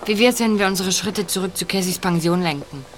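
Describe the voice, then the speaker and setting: A woman's voice: on the low side, fairly quick, faint music behind, close to an everyday voice. A woman playing a doubting boy detective in an audio drama, wary of danger, with a hesitant, questioning manner. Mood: reluctant, uneasy. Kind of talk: amateur audio drama acting.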